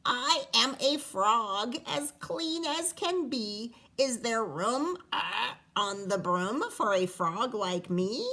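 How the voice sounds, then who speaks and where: A woman's voice: fairly high pitch, lively intonation, slow, few pauses, sharp tone, full character voice. A woman voicing a polite male storybook frog, speaking in sing-song rhyme. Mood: hopeful. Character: polite wet male frog asking to join.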